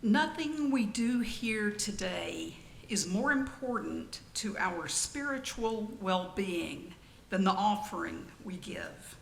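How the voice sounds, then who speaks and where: A woman leading a church service, reading scripted announcements with formal, deliberate phrasing in an echoey room. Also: lively intonation, creaky. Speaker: worship leader. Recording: echoey room, faint rumbling noise.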